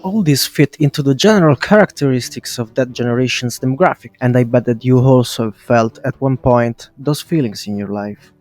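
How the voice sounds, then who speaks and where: A man's voice: medium pitch, normal speed, few pauses, darkish tone, dry recording. A man narrating a scripted video essay in a brash, opinionated way, swearing casually and addressing viewers directly. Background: music.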